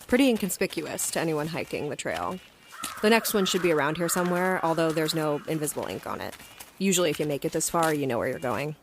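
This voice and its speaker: A woman's voice: low-pitched, lively intonation, fast talker, a little hiss or hum. An actress playing a guarded, anxious woman field agent who narrates her story in an audio drama. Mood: matter-of-fact explaining. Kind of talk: audio drama acting with first-person narration. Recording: music under the voice.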